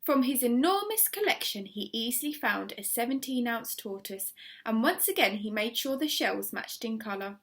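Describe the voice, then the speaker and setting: A woman with a fairly high, very animated voice reads a children's story. She is expressive and emphatic, slowing deliberately on important words like a primary school teacher. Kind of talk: children's story read aloud.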